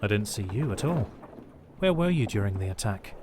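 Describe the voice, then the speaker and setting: A man playing a frightened, anxious sailor in an audio drama, halting and urgent. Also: lowish voice, very animated, noisy background. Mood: accusing. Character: frightened male sailor, pleading, anxious survivor.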